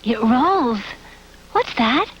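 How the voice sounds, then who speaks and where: A woman's voice: a little high, lively intonation, extremely slow, a bit bright, full character voice. A woman voicing a curious little child in a cute television commercial. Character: curious little child asking about a new toy. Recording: dull, faintly noisy old TV ad.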